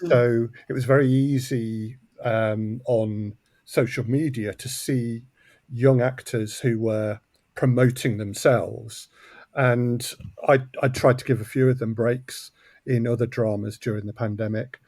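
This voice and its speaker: A man's voice: medium pitch, fairly even intonation, a little slow, a little mellow, dry recording. A man who makes audio drama, talking casually as an interview guest in a thoughtful, hesitant way, often repeating words.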